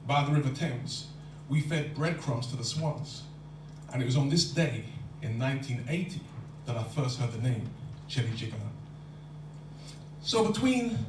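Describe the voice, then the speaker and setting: A man's voice: fairly high pitch, a little mellow. A man performing spoken word poetry in a lecture hall, with a rhythmic, rhyming delivery. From London. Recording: echoey hall from far off, faint hum.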